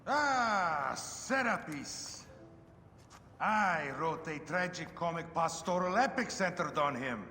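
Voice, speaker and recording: Furtive poet cousin for a historical game: florid, swooping delivery, reverberant space with background noise. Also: male voice, a little high, expressive, slow pace, bright, forceful tone, faint music behind, exaggerated voice. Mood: effusive. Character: furtive, theatrical male poet cousin in ancient Alexandria. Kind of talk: dramatic video game acting.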